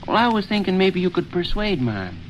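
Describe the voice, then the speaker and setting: An eager schoolboy in a 1930s radio comedy. His voice sits a little high, and he schemes earnestly with folksy persuasion. Light noise runs under the old recording. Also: very animated, a little fast, rough recording. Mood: eager, coaxing. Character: eager schoolboy son scheming to host a party.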